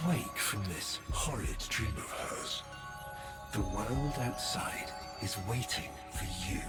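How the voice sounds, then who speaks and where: An actor playing a grandiose, bitter, scheming tyrant in a video game, theatrical and pompous, often dropping toward whispers. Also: male voice, lowish voice, slow, over loud music. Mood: pleading, tender. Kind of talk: scripted video game voice acting. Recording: long hall-like reverb.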